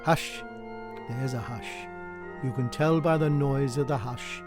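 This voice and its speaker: A man narrating a poetic radio play in an expressive storytelling manner. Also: medium pitch, slow, music in the background. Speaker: amateur reader and narrator. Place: dry home recording.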